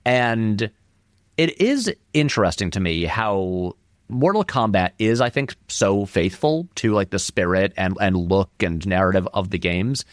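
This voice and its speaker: Lively man with a low-pitched voice. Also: a little slow, no echo, clean studio sound. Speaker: interview guest, film video essayist. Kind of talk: casual film commentary in an interview.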